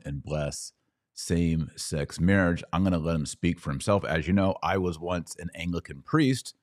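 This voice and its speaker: A man's voice: sits low, darkish tone. A man hosting a podcast, commenting emphatically on clips he plays and stressing his points with rising force. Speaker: podcast host.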